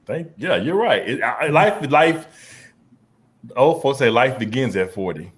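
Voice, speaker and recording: A man's voice: medium pitch, expressive, a little slow. Forty-something guy hosting a chill chat, mid voice, trips over his words, slangy. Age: 40s. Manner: laid-back, repeats words, slangy. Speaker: podcast host. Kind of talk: casual conversational interview.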